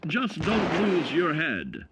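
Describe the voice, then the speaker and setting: An actor playing a cheerful, folksy narrator of 1950s-style government survival films, selling each point with measured, upbeat emphasis. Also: male voice, a little high, wildly swooping pitch, very noisy, exaggerated voice. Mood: upbeat, instructive. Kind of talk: 1950s instructional film narration. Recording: vintage band-limited film sound, music behind. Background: music.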